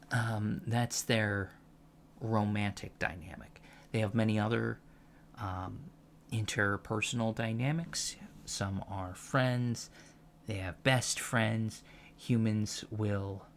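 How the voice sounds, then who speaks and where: Man as a slow, soft-spoken alien professor. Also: on the low side, slight background noise. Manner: halting, thoughtful, explanatory, soft-spoken. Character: gentle, earnest male alien college professor teaching about humans. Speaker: ASMR roleplayer. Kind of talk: soft-spoken ASMR lecture roleplay.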